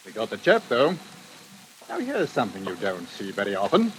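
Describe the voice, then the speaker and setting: Very animated police inspector. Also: male voice, on the low side, normal speed, poor audio. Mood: boastful. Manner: chatty, hesitant, gloating. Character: genial, boastful British police inspector with morbid tastes. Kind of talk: 1940s radio suspense acting. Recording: hissy vintage radio recording.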